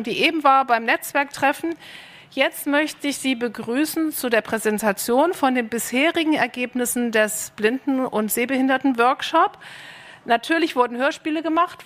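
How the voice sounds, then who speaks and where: A woman's voice: sits high, fairly bright tone. A woman moderating a live panel on stage, friendly and a little hesitant as she introduces her guests. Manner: friendly, lightly hesitant, with fillers.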